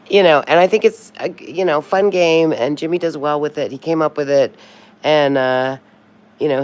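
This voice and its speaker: Low-voiced woman in her sixties rambling live. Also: darkish tone. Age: 60s. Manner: chatty, sings snatches of birthday songs to herself. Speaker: livestream host. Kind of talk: rambling casual livestream chat.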